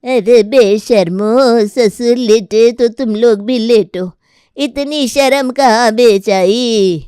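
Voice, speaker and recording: A bossy old mother-in-law from an animated story, acted by a woman. Her voice is smooth and fairly high, recorded dry and close. She nags, scolds and drags out her demands. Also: slow pace, no echo. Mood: scolding.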